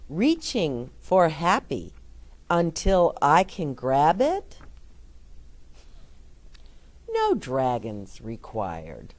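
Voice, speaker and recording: A middle-aged woman telling a story to small children, her lowish voice rising and falling with lots of life. She takes her time, speaking slowly and warmly encouraging her young listeners. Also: huge pitch swings. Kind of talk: read-aloud story time with chat to children.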